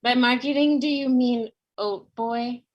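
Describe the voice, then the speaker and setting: A woman's voice: on the high side, steady tone, unhurried, highs cut off, natural voice. A woman playing a wry, skeptical female co-op worker in a comedy table read. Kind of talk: virtual table read of a sitcom script.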